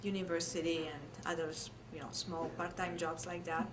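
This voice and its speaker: Woman, Italian accent, lower pitch, thoughtful interviewee, personal recollection, faint background noise. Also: flat delivery, normal speed. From Italy. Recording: clear background noise, room ambience.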